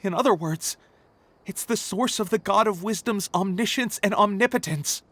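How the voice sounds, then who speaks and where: A man's voice: high-pitched, moderate pace, fairly bright tone. A man playing an earnest, somewhat haughty scholar in a video game, explaining things in formal speech. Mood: insistent, revealing. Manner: expository, formal. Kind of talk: scripted game dialogue.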